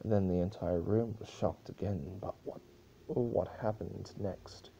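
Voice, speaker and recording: A man's voice: deep voice, fairly even intonation, unhurried, creaky, mellow, breathy edge, lo-fi sound. A man narrating fan fiction as an amateur dramatic reader, occasionally stumbling over the words.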